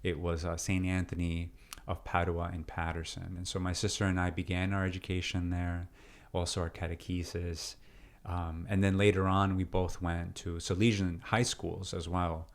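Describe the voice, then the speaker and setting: Very deep-voiced man, reflective. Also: level tone, normal speed. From Peru. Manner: reflective, many fillers and restarts. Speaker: deacon and seminarian, interview guest. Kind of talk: casual interview conversation.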